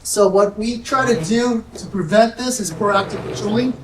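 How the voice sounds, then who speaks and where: A woman, a sheriff's deputy, giving a community safety presentation in a soft-spoken, nervous, chatty way. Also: medium pitch, normal speed. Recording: echoey room, distant mic, faint noise.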